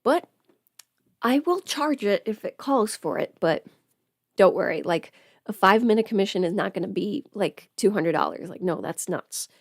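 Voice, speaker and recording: A young woman with a mid-pitched voice talks casually to her livestream viewers. She rambles and breaks off her own sentences in an informal, unscripted way. The sound is dry, with very little room in it. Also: expressive, moderate pace, creaky, no echo. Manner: rambling, self-interrupting, informal, chatting to viewers. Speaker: livestreamer and audio performer. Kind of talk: casual livestream chat while putting on makeup.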